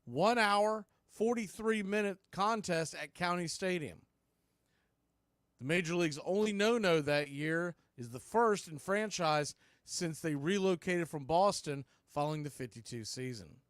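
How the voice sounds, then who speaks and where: A man with a high-pitched voice calls a baseball game as a radio play-by-play announcer. The sound is dry and close, with no room echo. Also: a little slow. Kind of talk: live sports radio play-by-play commentary.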